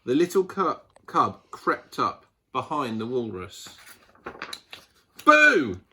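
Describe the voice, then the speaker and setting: Animated man reading a children's story, unhurried. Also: mid-range voice, breathy edge. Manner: expressive, dramatic pauses and emphasis. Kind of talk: animated picture-book read-aloud.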